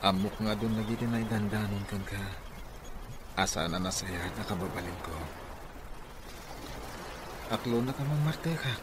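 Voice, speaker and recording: A man's voice: lowish voice, close to an everyday voice. An actor playing an earnest, sentimental young man in love, with an Ilocano Filipino accent, in a radio drama. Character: lovestruck, earnest young man courting a woman. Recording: noisy radio drama track with ambience.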